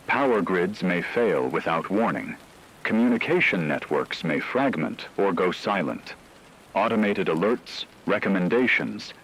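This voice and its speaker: A low, husky voice belongs to a grave radio anchor played by a man. He delivers a scripted emergency broadcast with solemn, measured formality, weighing each phrase. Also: a bit bright, heavily acted, poor audio. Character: grave male radio news anchor in an AI-driven collapse.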